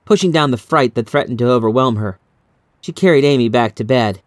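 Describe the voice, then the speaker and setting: A man reading a scripted horror story in a measured, dramatic, suspenseful way, as an audiobook narrator. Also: medium pitch, no echo, clean studio sound.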